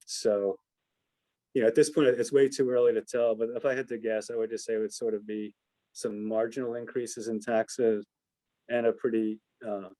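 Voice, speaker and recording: A man's voice: on the low side, little pitch movement, normal speed, dark tone, no echo, poor audio. An American man giving informal analysis as an investment adviser answering questions on a call, hesitant and hedging as he thinks aloud.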